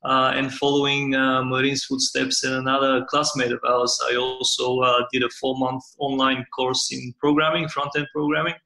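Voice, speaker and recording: A young man from Bulgaria answering interview questions, telling his story in a relaxed, hesitant way. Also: mid-range voice, moderate pace, hardly any pauses, echoey room, low-quality recording. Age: young adult. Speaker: guest, startup cofounder.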